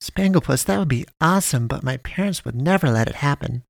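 Man playing a shy, downcast little schoolboy, a bit higher and soft, dry close sound. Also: expressive, a little fast, gravelly, no room sound. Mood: timid. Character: timid bullied young schoolboy.

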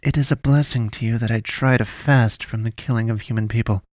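A man's voice: medium pitch, steady tone, brisk, darkish tone, no room sound, studio quality, natural voice. A man voicing a cold, stiffly formal alien woman disguised as a pastor, speaking in clipped, precise phrases.

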